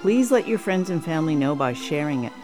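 A woman, a podcast host, reading the closing notes of her show and spelling out a link slowly and deliberately. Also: deep voice, very animated. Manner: clear, spelling things out deliberately. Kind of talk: podcast outro read. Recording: voice over loud music.

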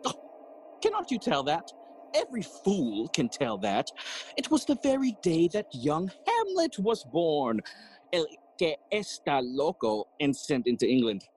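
Slow, swooping, cheeky gravedigger. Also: male voice, on the high side, wildly swooping pitch, darkish tone, rough recording. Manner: teasing, sighing and chuckling, theatrical. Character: jolly, cheeky working-class gravedigger fond of riddles. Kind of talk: bilingual Spanish-English Shakespeare audio play acting.

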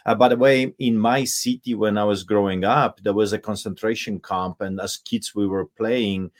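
A middle-aged man with a Polish accent speaks over a video call in a fairly low voice. He presses his points emphatically, stumbling through fillers and restarts as he goes. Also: steady tone, moderate pace. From Poland. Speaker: pastor, guest. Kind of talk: impassioned interview talk.

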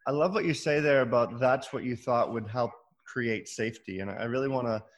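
Male host, medium pitch, affirming, searching, repeats words mid-question. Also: a little fast. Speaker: podcast host. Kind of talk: interview questions.

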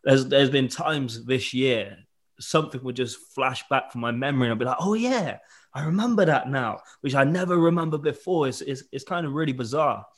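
A man's voice: mid-range voice, brisk. A man of thirty with a southern English accent, interviewing someone in a casual, conversational way.

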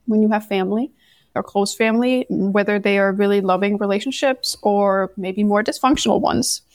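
A woman from Denmark, a podcast guest telling her story in a candid, animated way. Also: medium pitch, moderate pace, no room sound, clean studio sound. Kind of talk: casual interview conversation.

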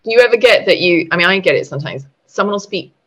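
A woman's voice: on the low side, expressive, fast talker, a bit bright, slightly rough. A middle-aged woman, a guest muralist, chatting casually in an interview, laughing easily and restarting her sentences. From Portugal and Britain. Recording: dull lo-fi sound.

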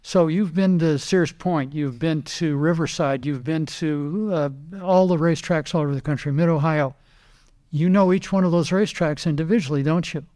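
Older male guest, slightly higher voice, lively anecdotes, repeats words as he talks. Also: normal speed. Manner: animated anecdotes, quoting others, repeats words. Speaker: guest, retired racing driver. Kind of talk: casual storytelling interview.

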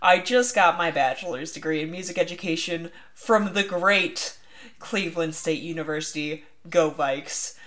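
A woman's voice: on the low side, normal speed, a bit bright. A woman in her twenties with an American accent, chatting casually and playfully as a podcast co-host. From Northeast Ohio, United States. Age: 20s. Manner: playful, mock-formal joking.

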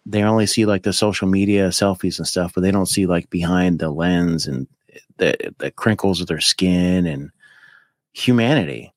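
Guy in his 50s, lowish voice, rambly and animated, dry close sound. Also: normal speed, no room sound. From United States. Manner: rambling, animated, with many fillers. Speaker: podcast guest. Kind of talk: casual chat.

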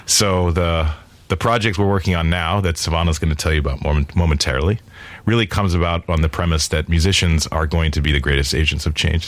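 A man's voice: rumbling low, no room sound. A man, founder of a music nonprofit, explaining his mission at length in an interview, earnest and expansive with many fillers. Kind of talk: interview conversation.

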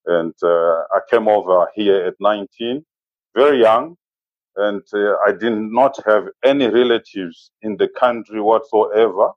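A man in his forties with a Zimbabwean accent, speaking as an interview guest in a measured, halting way and often saying "you know". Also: on the low side, dry recording, muffled recording. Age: 40s. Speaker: interview guest, safari business owner. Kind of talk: conversational interview, personal recollection.

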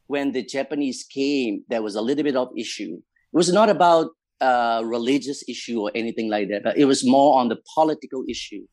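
A man from Myanmar with a Burmese accent, recounting life and history as an interview guest in halting, conversational English phrasing. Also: mid-range voice, darkish tone, no room sound. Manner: hesitant, halting non-native English phrasing. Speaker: interview guest, community leader.

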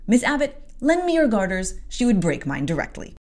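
A woman's voice: mid-range voice, very animated, normal speed, voice pushed up. A woman reading aloud and voicing a stern adult female servant who scolds a young girl. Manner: scolding, firm.

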